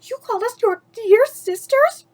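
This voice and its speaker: A woman's voice: animated, measured pace, a little mellow. A woman voicing a bossy, spiteful young stepsister from a storybook, easily rattled. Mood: frightened, quavering. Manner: barking, shrill orders.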